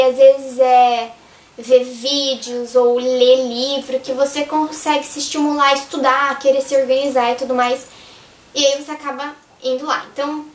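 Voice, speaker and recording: Hoarse brazilian girl rambling tips to her viewers, high voice, mic kinda far in an echoey room. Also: fairly bright tone. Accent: Brazilian Portuguese. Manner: chatty, rambling, addresses viewers directly. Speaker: vlogger. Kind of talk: casual advice vlog.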